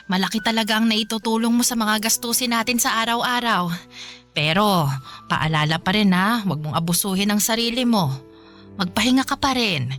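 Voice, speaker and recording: An actress playing a caring, worried older mother who gently nags in a conversational radio drama style. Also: medium pitch, close to an everyday voice. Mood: grateful, caring. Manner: conversational, fond, chiding with hesitant interjections.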